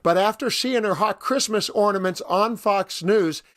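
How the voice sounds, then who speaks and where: A man hosts political commentary in a notably high voice with a slightly rough edge. His delivery is scripted and wry, leaning into sarcasm and mockery. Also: measured pace, fairly bright tone, dry recording, clean studio sound. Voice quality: somewhat rough voice, as the speaker says. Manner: wry, mocking. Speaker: commentary host.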